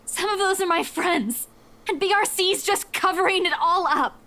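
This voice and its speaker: A woman's voice: very high-pitched, normal speed, bright, forceful tone, heavily acted, low-quality recording. A woman voicing a desperate, stubborn young woman pleading in a visual novel game.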